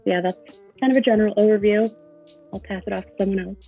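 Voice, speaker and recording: A woman's voice: mid-range voice, fast, breathy edge, soft background music. A woman introducing her engineering career on a livestream panel, talking casually with frequent ums. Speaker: mentor panelist. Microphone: video call. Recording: dull lo-fi audio.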